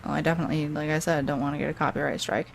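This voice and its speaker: A woman with a deep voice giving casual game commentary in an earnest, hesitant, reflective manner. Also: fairly even intonation, racing, creaky, over loud music. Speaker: let's play video creator.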